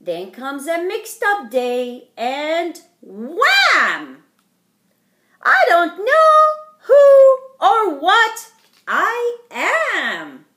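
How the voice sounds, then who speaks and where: A middle-aged woman reading a picture book aloud to young children in a theatrical, deliberate way, as a storytime host. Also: very high voice, wildly swooping pitch, bright, forceful tone. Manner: theatrical, slow and deliberate, addressing small children. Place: indoor wood-panelled living room. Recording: roomy, echoing home recording.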